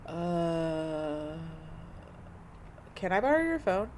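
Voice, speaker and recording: Troubled young woman played by an actress, hesitant and emotional, unhurried, expressive intonation. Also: on the low side, slight background noise, exaggerated voice. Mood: uneasy. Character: anguished, secretive young woman confronting a friend. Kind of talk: naturalistic audio drama acting.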